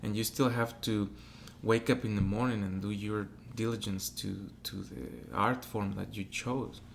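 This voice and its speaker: A man's voice: fairly low pitch, a little slow, creaky, a little hiss or hum. A man with a Mexican Spanish accent, a native Spanish speaker and opera tenor, talking reflectively as a guest in a casual interview. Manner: reflective, acts out quoted voices.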